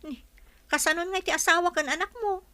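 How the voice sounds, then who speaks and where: A woman's voice: extremely high pitch, fast, pushed and bright. A woman with an Ilocano accent playing a practical, frank village friend who asks pointed questions in a radio drama.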